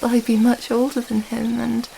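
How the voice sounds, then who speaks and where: A woman playing an earnest, affectionate, slightly flustered young princess in an intimate ASMR romance roleplay, speaking softly and hesitantly, rambling gently. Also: fairly high pitch, monotone, moderate pace, vocal fry, a little hiss or hum, poor audio. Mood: reassuring, thoughtful.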